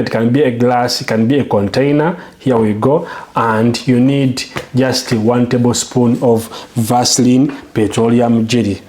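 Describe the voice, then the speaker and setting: A man's voice: mid-range voice, darkish tone, a little hiss or hum. A man with a Ugandan English accent hosts a how-to video. His delivery is emphatic and promotional, walking viewers through steps and repeatedly checking that they follow. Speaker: channel host.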